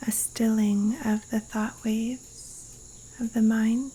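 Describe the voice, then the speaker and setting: A woman guiding a yoga nidra meditation in a hushed, breathy, soothing voice with long pauses, recorded outdoors in the jungle. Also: fairly high pitch, flat delivery, low-quality recording. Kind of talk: guided meditation. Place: outdoors in a Costa Rican jungle. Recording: faint rainforest insect and cricket noise. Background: insects and rainforest wildlife sounds.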